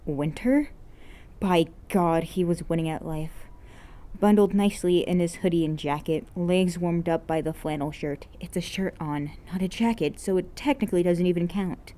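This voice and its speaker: A woman's voice: lowish voice, normal speed, mellow. A woman reading scripted fiction aloud as an amateur narrator. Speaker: amateur podfic reader.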